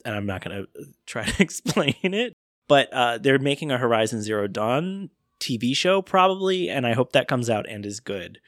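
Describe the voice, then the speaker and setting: A man's voice: mid-range voice, big pitch swings, normal speed, fairly bright tone, dry recording, studio quality. Easygoing man hosting a casual podcast. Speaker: podcast host.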